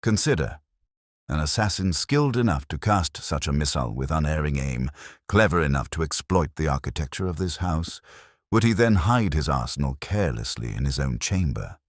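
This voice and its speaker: Casting: male narrator as a self-assured storybook detective, very low voice, precise, deliberate, expressive phrasing. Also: no room sound, studio quality, heavily acted. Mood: cool, certain.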